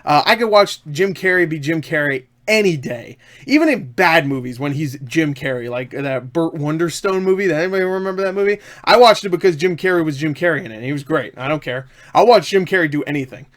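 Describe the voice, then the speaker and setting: Male online film reviewer, slightly high voice, enthusiastic, jokey, loose and rambling delivery. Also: fairly quick, hardly any pauses, a bit bright. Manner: enthusiastic, jokey, self-interrupting asides. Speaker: online movie reviewer and host. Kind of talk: casual, rambling movie review to camera. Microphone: webcam setup.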